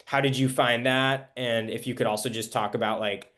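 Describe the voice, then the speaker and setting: A man's voice: medium pitch, fairly even intonation, normal speed, fairly bright tone. A young man interviewing a guest on a podcast in a conversational style, speaking as the host.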